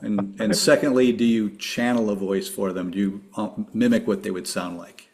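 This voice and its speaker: Upbeat low-voiced male podcast host. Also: steady tone, moderate pace, darkish tone. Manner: upbeat, reads structured questions. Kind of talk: interview questions.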